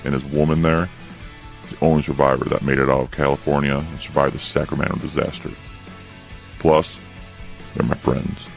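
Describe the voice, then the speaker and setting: An actor playing a stern, protective camp guard in a post-apocalyptic audio drama, speaking with measured authority. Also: male voice, level tone, soft background music. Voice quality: very deep, gravelly. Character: gruff protective camp guard, firm friend to refugees.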